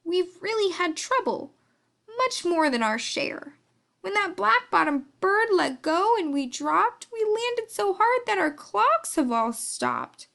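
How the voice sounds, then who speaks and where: A woman's voice: very high voice, sing-song, takes it slowly, vocal fry, fairly bright tone, heavily acted. A woman voicing a tiny, worried town mayor in a storybook, plaintive and pleading. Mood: distressed.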